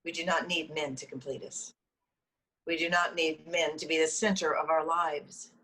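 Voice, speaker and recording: A woman's voice: deep voice, fairly even intonation, moderate pace, fairly bright tone. Woman acting earnest female group leader, easily exasperated, preachy, comedy table read, video call, faint background noise.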